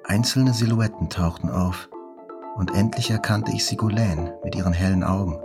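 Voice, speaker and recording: An actor playing a wounded journalist who recalls an attack in a measured, reflective, scripted narration. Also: male voice, fairly low pitch, natural voice. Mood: sober, reflective. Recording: prominent music under the narration.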